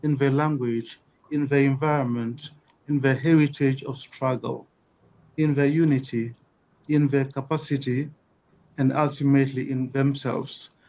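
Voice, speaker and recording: Male webinar lecturer, mid-pitched, measured delivery with many fillers, muffled call audio. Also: steady tone, a little hiss or hum. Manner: measured, with frequent "you know" and "uh". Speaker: webinar speaker. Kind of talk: academic lecture. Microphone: video call.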